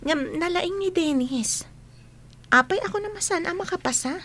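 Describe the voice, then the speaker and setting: A woman's voice: very high voice, expressive, moderate pace, a bit bright. A woman playing a supportive young female schoolmate in a radio drama, earnest and understanding. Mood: defending, sympathetic.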